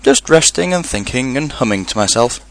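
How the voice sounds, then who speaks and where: A man's voice: on the high side, big pitch swings, moderate pace, fairly bright tone, no echo, voice pushed up. A man voicing a greedy, anxious, muddled toy bear from a storybook, plaintive and earnest. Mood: flustered. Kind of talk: storybook character reading.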